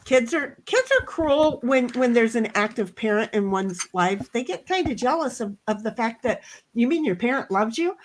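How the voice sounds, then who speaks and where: A middle-aged woman with a loud voice and a fairly high pitch tells a story in a casual chat. Her manner is blunt and animated, with swearing mixed in. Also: moderate pace, a little hiss or hum, low-quality recording. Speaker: co-host.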